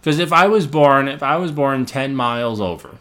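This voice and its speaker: A man's voice: mid-range voice, animated, moderate pace, fairly bright tone. A middle-aged man from Illinois hosting a solo podcast, thinking aloud in a rambling way, restarting and repeating words, with small laughs and casual swearing. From Illinois, United States. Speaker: podcast host.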